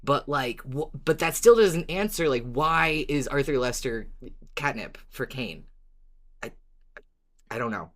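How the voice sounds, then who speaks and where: Deep-voiced woman, enthusiastic podcast host. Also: animated, unhurried, pauses often. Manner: enthusiastic, emphatic, swears casually. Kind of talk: casual fan discussion of a fiction podcast.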